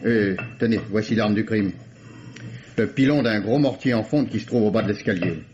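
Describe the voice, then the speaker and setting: A man's voice: fairly low pitch, moderate pace, a little mellow, natural voice. An actor playing a respectful, matter-of-fact police sergeant reporting to his superior in a French radio mystery play. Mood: deferential, matter-of-fact. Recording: dull old radio recording, clear noise.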